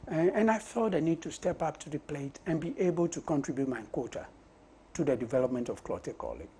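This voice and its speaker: A Ghanaian man with a husky voice and Ga as his mother tongue, answering interview questions in deliberate short phrases. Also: on the high side, lively intonation, warm tone, slight background noise. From Osu, Accra, Ghana. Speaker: parliamentary candidate being interviewed. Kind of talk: conversational political interview.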